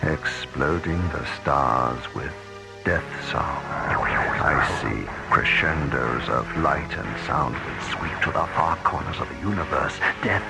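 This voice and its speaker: An actor playing a megalomaniac space villain, gravelly, theatrical and menacing, in a science fiction radio drama. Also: male voice, extremely low pitch, animated, full character voice, poor audio. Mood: rapturous menace. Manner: grandiose, menacing, theatrical, drawn-out. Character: megalomaniac, deranged space villain craving cosmic destruction. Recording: radio drama with loud music.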